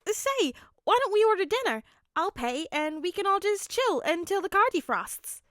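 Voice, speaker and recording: Lady doing an upbeat dad in a story reading, bright high pitch, cheerful and coaxing. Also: sing-song, normal speed, dry recording, studio quality, heavily acted. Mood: cheerful, considerate. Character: friendly upbeat dad, a pro hero, gentle with kids. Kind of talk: fan fiction audiobook character voice.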